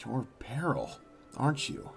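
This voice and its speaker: Man as a gravelly, timid young dragon prince. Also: mid-range voice, wildly swooping pitch, measured pace, soft background music, heavily acted. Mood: awkward, hesitant. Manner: hesitant, apologetic. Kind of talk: audio drama acting.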